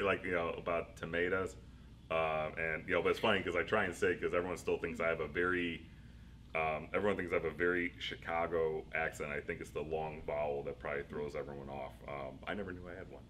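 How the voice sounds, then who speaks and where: A man's voice: low-pitched, fairly even intonation, quick pace, pushed and bright, slight background noise. A middle-aged man, a school district leader, answering interview questions in a rambling, self-deprecating way with frequent laughs.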